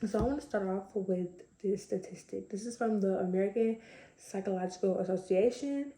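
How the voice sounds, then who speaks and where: A young woman with a slightly raspy voice, chatting casually to camera with lots of fillers. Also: mid-range voice, brisk, mellow, reverberant, low-quality recording. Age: young adult. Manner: chatty, conversational, many fillers. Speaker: vlogger.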